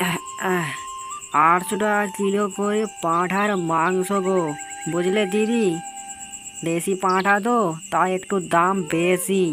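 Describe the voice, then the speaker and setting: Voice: mid-range voice, steady tone, a little slow, long pauses, music in the background, lightly acted. An actor as an obliging village butcher. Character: obliging male village butcher, friendly and chatty. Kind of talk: animated moral story acting.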